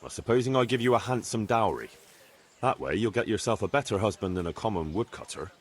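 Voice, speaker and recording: An actor playing a measured, inquiring medieval man of authority in a video game. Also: fairly low pitch, expressive, slightly rough. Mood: decisive. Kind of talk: video game cutscene acting.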